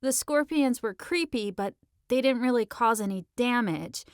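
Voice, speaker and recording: A woman plays a teenage girl in an audiobook, sharp and argumentative. Her voice is high and very clean, with slangy, emphatic phrasing, recorded close and dry. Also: lively intonation, moderate pace, dry recording, natural voice. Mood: explaining. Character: sharp, argumentative sixteen-year-old high school girl.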